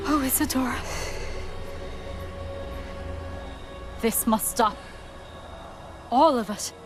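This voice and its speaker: A woman's voice: a little high, measured pace, lightly acted. A woman playing a principled, stern and alarmed witch professor in a dramatic game scene. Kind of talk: dramatic video game acting. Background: background music.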